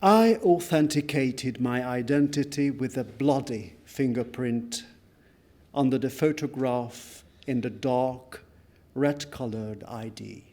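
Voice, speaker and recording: Slow, solemn man reading dramatically. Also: medium pitch, mellow. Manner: solemn, deliberate, with emphatic pauses and repetitions. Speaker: reader at a book launch. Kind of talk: dramatic literary reading of a first-person memoir.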